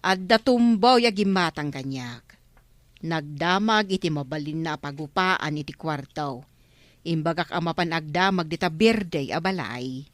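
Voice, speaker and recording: A woman's voice: deep voice, animated, unhurried, no echo. A woman playing an inquisitive, helpful village councillor with an informal questioning manner in an Ilocano radio drama.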